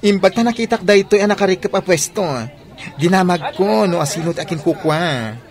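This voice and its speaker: An affectionate young Ilocano husband in a radio drama, acted by a man. His voice is notably high for a man. He speaks like someone full of plans. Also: a little fast, crowd noise. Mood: eager, affectionate.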